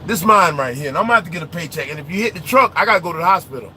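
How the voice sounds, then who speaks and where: A man speaking with a high, bright voice rants loudly from inside his truck cab. His talk is slangy and animated, and he often raises his voice. A faint hum of road noise sits behind him. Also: rapid-fire. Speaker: truck driver vlogger. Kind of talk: casual ranting chat while driving.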